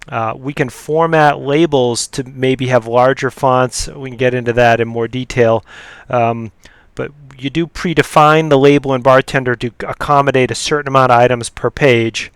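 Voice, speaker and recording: A man giving an unscripted software demo in a halting, matter-of-fact way as he explains each step. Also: medium pitch, steady tone, smooth, no echo. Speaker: software demonstrator. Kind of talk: unscripted screen-recorded software tutorial.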